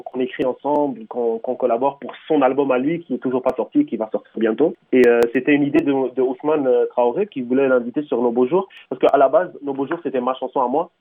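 Fast-talking rapper over a phone line. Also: male voice, medium pitch. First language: Tunisian Arabic. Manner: rambling, full of restarts and fillers. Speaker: rapper, interview guest. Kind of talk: radio interview answers.

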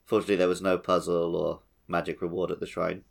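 Male co-host, low register, relaxed format of casual gaming chat. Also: quick pace, creaky. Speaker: podcast co-host. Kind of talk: casual chat about a video game.

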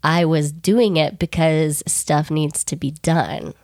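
A young woman co-hosting a podcast, soft-spoken and rambling a little, getting over a cold. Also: deep voice, fairly even intonation, slow pace, no echo, studio quality. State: recovering from a cold. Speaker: podcast co-host. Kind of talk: casual podcast chat.

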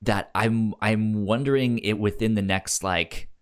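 Guy with a low voice chatting about movies on a podcast, stumbles and repeats words a lot. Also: fairly even intonation, clean tone. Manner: halting, stammers and repeats words. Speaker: podcast host. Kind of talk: casual film discussion.

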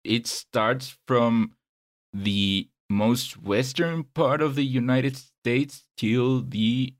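Halting young man chiming in. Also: mid-range voice. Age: 20s. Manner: hesitant, halting, chimes in. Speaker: podcast co-host. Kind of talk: casual group chat.

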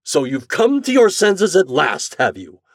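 A man voicing a haughty, easily outraged gentleman in a storybook reading, emphatic and indignant. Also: fairly high pitch, wildly swooping pitch, measured pace, dry recording, clean studio sound, voice pushed up, heavily acted. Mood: triumphant.

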